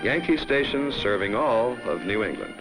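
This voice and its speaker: A man reading scripted 1950s promotional film narration in a measured, formal announcer style on a vintage soundtrack. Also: on the low side, expressive, poor audio. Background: background music.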